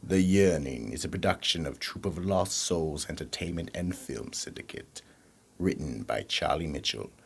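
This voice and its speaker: A man narrates a radio mystery with a very deep voice and slow, deliberate pace. His delivery swings widely in pitch for suspense. There is faint noise behind him. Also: creaky, slightly rough. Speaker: narrator and announcer.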